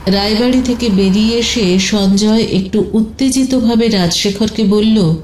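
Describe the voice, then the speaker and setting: A woman's voice: mid-range voice, unhurried, darkish tone, reverberant, slight background noise. A woman narrating a scripted detective audio story in a dramatic storytelling style.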